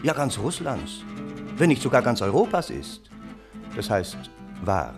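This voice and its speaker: An actor playing a respectable, courteous gentleman who narrates a German radio play as a family friend in measured speech. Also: mid-range voice, very animated, over music. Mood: genial storytelling.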